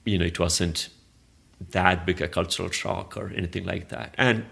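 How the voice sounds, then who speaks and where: A man's voice: low-pitched, fairly even intonation, moderate pace, gravelly, a bit bright. A man, a Malayalam speaker, answering interview questions in a thoughtful, meandering way with frequent restarts. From southern India. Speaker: interview guest, arts organizer. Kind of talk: reflective conversational interview.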